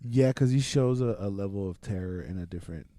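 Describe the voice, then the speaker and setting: A man's voice: on the low side, fairly quick, mellow, no room sound. A man hosting a podcast in casual banter with friends, slangy and given to swearing. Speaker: podcast host.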